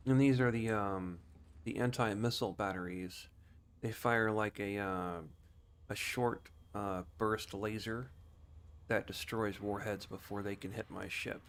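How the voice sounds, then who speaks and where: A man streaming a video game, explaining things in a casual, rambling, unhurried way. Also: lowish voice, fairly even intonation, fairly bright tone. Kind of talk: casual gameplay commentary. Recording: faint background noise.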